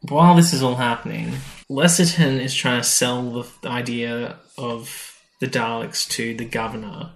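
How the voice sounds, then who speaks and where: A man's voice: medium pitch, steady tone, moderate pace, vocal fry, hardly any pauses, echoey room. A man co-hosting a podcast, casually recapping a story and acting out people's reactions as he retells it. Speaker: podcast co-host. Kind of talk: casual episode recap chat.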